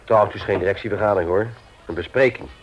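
A man's voice: lowish voice, rapid-fire, a little hiss or hum, lightly acted. An actor playing a middle-aged husband and father in a Dutch radio play, teasing, hearty and mock-indignant in a domestic scene. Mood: measured, earnest. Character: grumbling but affectionate middle-aged husband and father, office man. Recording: dull, lo-fi old radio recording.

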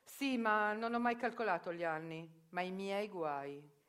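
A woman voicing a proud, tough sixty-six-year-old woman who lives as a man, answering firmly in a raspy voice. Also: mid-range voice, unhurried, a bit bright, natural voice. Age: 60s. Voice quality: raspy, like a heavy smoker. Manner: firm, proud, emphatic answers.

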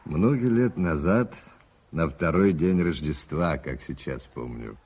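An actor playing a genial, measured gentleman reminiscing with an old friend in a deliberate radio drama style. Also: a bass voice, expressive, slightly rough, full character voice. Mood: musing. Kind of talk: 1980s Soviet radio drama acting. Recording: muffled vintage radio recording with hiss.

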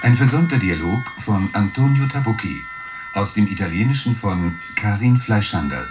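Low-voiced male radio announcer. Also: expressive, over music. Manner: formal, measured. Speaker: radio announcer reading credits.

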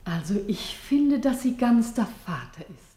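An actress playing a chatty, polite and proper convent nun in a German radio drama, with a genteel, fussy manner. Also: female voice, on the high side, very animated, measured pace, mellow. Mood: gently fussing. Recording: echoey roomy radio play sound.

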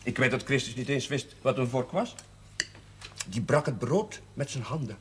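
A man playing a cheerful, warm-hearted young priest who laughs easily and gets exuberant. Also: mid-range voice, moderate pace, noisy background, lightly acted. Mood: teasing. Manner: exuberant, laughing, breaks into song. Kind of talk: 1970s television drama.

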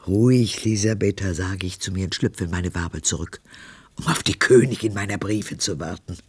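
An actress playing a very old, bitter and sardonic woman in a radio drama monologue, with a husky, gravelly voice and brooding, biting diction. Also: a bass voice, normal speed, darkish tone, dry recording. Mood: brooding, fearful. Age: older.

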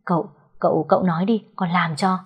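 A woman's voice: fairly low pitch, steady tone, a little fast, slightly rough. A woman voicing a terrified young male servant who stammers in panic. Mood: eager.

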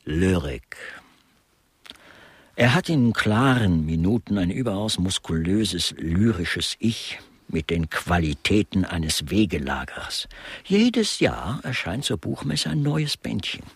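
Give the part of a dry, pedantic art connoisseur in a radio crime comedy. His voice sits low and is rough-edged, recorded close and dry. He explains and probes in a knowing, deadpan way. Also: takes it slowly, no room sound, heavily acted. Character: dry, inquisitive male artist, knowing about art.

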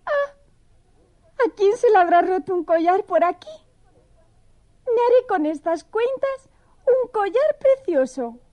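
A woman's voice: very high-pitched, very animated, slow pace, pauses often, warm tone, heavily acted. A woman voicing a vain, coquettish young female mouse in a children's audio story, with an exclamatory, theatrical delivery. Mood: curious, delighted. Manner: exclamatory, self-admiring, theatrical.

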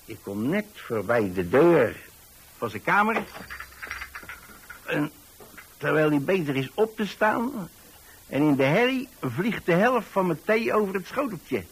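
A man's voice: fairly high pitch, very animated, a little slow, long pauses, poor audio. An actor playing a chatty, easily flustered old pensioner who tells his story in a hesitant, rambling way, repeating words. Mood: rambling, reminiscing. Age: older, retired. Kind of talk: radio monologue storytelling. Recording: hissy old radio recording.

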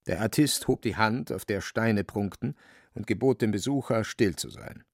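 A man narrating a literary radio drama in a measured, wry storytelling manner. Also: lowish voice, no echo, clean studio sound.

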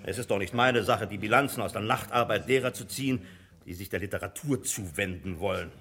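An actor in a German radio drama playing an imperious, cynical publisher who talks in a blustering, condescending way. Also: male voice, lowish voice, a little fast, a bit bright. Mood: cynical, grandiose. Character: imperious, cynical, boastful male publisher and bookseller.

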